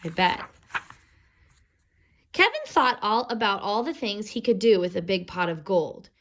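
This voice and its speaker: Slow-paced woman reading a storybook aloud. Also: mid-range voice. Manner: expressive, explains words and pictures to young listeners. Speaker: read-aloud teacher reading to children. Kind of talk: storybook read-aloud with explanatory asides.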